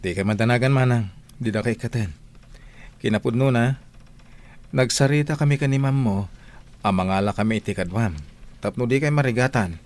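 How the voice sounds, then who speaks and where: A patient, prayerful husband and father in an audio drama, acted by a man. His mid-pitched voice is gentle and carries worry as he talks things through. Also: fairly quick, pauses often, lightly acted. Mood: reassuring. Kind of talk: scripted Filipino audio drama.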